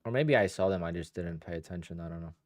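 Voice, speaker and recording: Man in his thirties from Poland, low voice, casual rambling livestream chat, dry close recording. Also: quick pace, no echo. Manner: relaxed, rambling, thinks aloud with restarts. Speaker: day trader streaming live.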